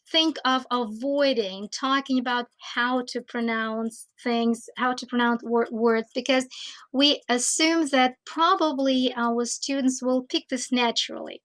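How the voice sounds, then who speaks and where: A woman giving a teaching talk, slow and thoughtful, pausing often with fillers. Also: a little high, fairly bright tone. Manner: slow, thoughtful, with many hesitations. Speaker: teacher trainer.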